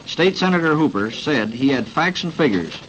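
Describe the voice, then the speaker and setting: A man's voice: on the high side, lively intonation, normal speed. A man with an American accent, a reporter, giving a prepared, matter-of-fact account. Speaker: newspaper reporter. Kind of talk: prepared factual statement on radio. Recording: dull, faintly noisy 1940s radio recording.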